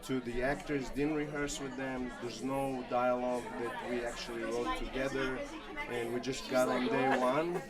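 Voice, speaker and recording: A man's voice: mid-range voice, flat delivery, normal speed. A man from Israel, a filmmaker being interviewed, talking in a hesitant way with many fillers and restarts. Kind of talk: casual interview chat. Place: large indoor hall at a film expo. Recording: noisy crowded hall. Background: loud crowd chatter.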